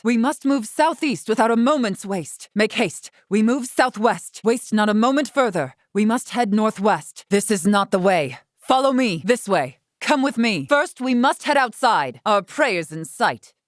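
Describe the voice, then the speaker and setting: A woman's voice: on the high side, lively intonation, a little slow, hardly any pauses, no room sound, studio quality. An actress playing a dignified, formal woman warrior-scholar in a video game, speaking in stately, archaic phrasing. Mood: brisk, commanding. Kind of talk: scripted video game character lines.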